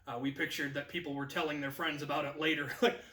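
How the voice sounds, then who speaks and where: An American man in his thirties telling a casual anecdote as a video host, in an animated manner. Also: on the high side, fast talker. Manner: animated, acts out quoted lines. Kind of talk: casual anecdotal storytelling to camera. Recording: echoey room, distant microphone.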